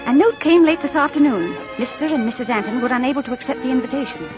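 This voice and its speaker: A highish, lively voice as a gracious society hostess in a 1940s radio play, polished and chatty. Music plays behind the muffled recording. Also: female voice, quick pace. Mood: brisk, teasing. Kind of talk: 1940s radio drama acting.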